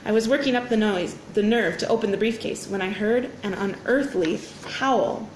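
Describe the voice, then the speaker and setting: A woman reading a children's story aloud in an expressive, dramatic teacher's storytelling manner. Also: mid-range voice, normal speed. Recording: roomy echo, faint background noise.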